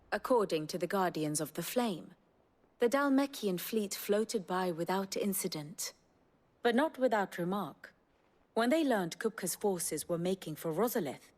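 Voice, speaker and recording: A woman's voice: fairly low pitch, darkish tone. An actress playing an elegant woman mentor and strategist in a video game, speaking in an erudite, formal and archly theatrical way. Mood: wry, instructive. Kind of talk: dramatic expository game dialogue.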